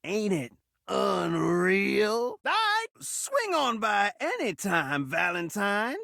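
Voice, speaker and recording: Flirty showman tv set game character, rhymes everything, drawn out slow delivery w some gravel. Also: sits high, huge pitch swings, sharp tone, no echo, studio quality, heavily acted. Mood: showy, proud. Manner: rhyming, theatrical showman delivery. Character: flamboyant talking television set, flirty, showy, rhyme-loving male. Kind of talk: video game character voice acting.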